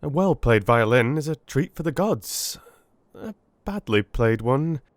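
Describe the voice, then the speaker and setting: Man acting a wry, curious gentleman army doctor. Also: mid-range voice, expressive, measured pace, no room sound, voice pushed up, lightly acted. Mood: wry, confessing. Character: weary but curious young ex-army doctor, polite, droll. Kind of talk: theatrical audiobook character acting.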